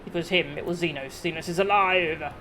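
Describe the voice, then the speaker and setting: A woman voicing an earnest, level-headed young noblewoman adventurer, reading video game dialogue in a measured, explanatory manner. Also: low voice, background noise, close to an everyday voice. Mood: grave, convinced. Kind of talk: dramatic read-aloud of video game dialogue.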